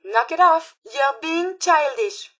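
Game role: earnest female robot scientist, French accent, high register, precise formal diction, very dry sound. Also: animated, slow pace, sharp tone, no echo, heavily acted. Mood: indignant, hurt. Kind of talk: video game companion dialogue.

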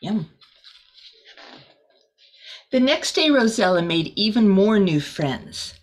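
Slow-paced woman reading a storybook aloud. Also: mid-range voice. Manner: expressive, deliberate. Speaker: volunteer teacher reading to children. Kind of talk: storybook read-aloud with asides. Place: small room indoors.

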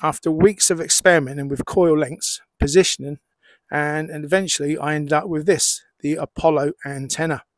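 A middle-aged man explaining and demonstrating as a hobbyist presenter, in measured short phrases with pauses. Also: fairly high pitch, steady tone, no echo. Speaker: amateur radio hobbyist presenter.

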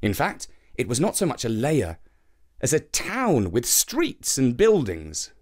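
A man reading a children's folktale as an expressive storybook narrator. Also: medium pitch, unhurried.

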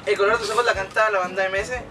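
A man's voice: high-pitched, steady tone, racing, a crowd in the background. A young man joking casually with friends as a contestant in a game. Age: young adult. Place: small motorhome lounge, indoors. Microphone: camera a few metres away. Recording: distant camera mic, loud music behind.

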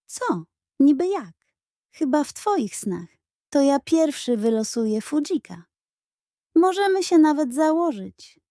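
A woman's voice: high voice, sing-song, slow, mellow, no echo, studio quality, pitched above the performer's own voice, exaggerated voice. A woman voicing a competitive, teasing young boy in a children's audio story. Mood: defiant.

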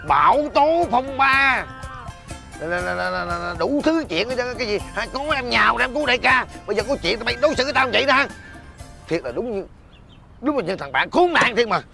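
A man's voice: very high voice, expressive, brisk, vocal fry, exaggerated voice. An actor with a Southern Vietnamese accent playing an agitated husband and gang boss in a folk-tale comedy drama, stammering and repeating himself, bitter at his friends. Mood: bitter, indignant. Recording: music behind the dialogue.

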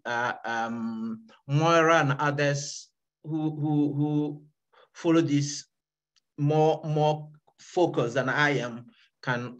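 A man's voice: on the high side, fairly bright tone, rough recording. A middle-aged man on a video call, stating his view firmly and often repeating words. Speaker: town hall participant. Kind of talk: panel discussion. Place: home room.

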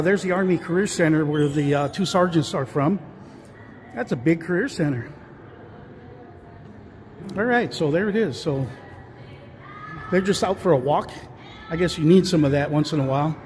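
A man with a fairly high voice narrates a casual tour as he walks through a large indoor mall, filming on a handheld phone. He rambles, trailing off into fillers and restarting his sentences. Faint hum of the mall behind him. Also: moderate pace, long pauses, a little mellow. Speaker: vlogger touring a mall. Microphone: handheld phone camera, speaker off screen.

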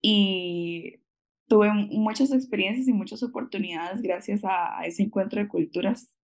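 A woman's voice: medium pitch, normal speed, a little mellow. A woman in her twenties from Costa Rica with a Costa Rican accent, answering interview questions over a video call in a hesitant, laughing way. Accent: Costa Rican Spanish. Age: 20s. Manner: hesitant, many fillers, laughs often. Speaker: guest. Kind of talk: conversational interview.

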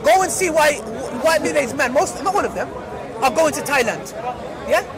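A man's voice: very high voice, animated, moderate pace. A man preaching in an open-air debate, pressing his points with constant "yeah?" tag questions. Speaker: street preacher. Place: outdoor public square with a crowd. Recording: loud crowd noise outdoors. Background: loud crowd chatter.

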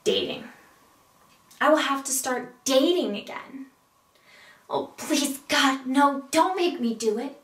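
A woman playing a neurotic, melodramatic single woman in a comedic stage monologue, with deliberate dramatic pauses. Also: high-pitched, animated. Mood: dramatic dread, then pleading. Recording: echoey room, distant mic.

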